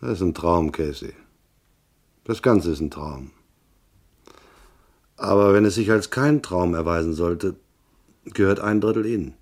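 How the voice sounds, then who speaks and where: Low-voiced, laconic hard-boiled detective. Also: male voice, unhurried, pauses often, darkish tone. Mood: skeptical, dry. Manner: laconic, dry, sardonic. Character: wry, skeptical male private detective telling his case. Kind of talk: radio drama narration and dialogue, hard-boiled crime.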